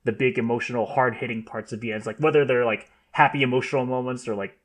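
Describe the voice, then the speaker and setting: A man co-hosting a podcast, rambling casually with lots of "like" and "you know" and a soft trailing delivery. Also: mid-range voice, steady tone, fast talker. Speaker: podcast co-host. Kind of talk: casual chat.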